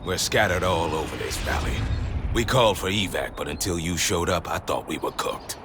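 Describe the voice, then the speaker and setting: A man's voice: deep voice, normal speed, background noise. A man playing a battle-weary, gritty marine sergeant in a video game cutscene. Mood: weary relief.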